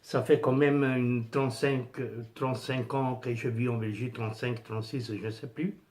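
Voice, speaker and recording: A middle-aged man with an Italian accent, speaking French as a second language, casually vlogging about his pin collection with many pauses and fillers. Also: mid-range voice, fairly even intonation, a little mellow. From Italy. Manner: hesitant, many fillers and restarts, searching for words. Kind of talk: casual unscripted vlog.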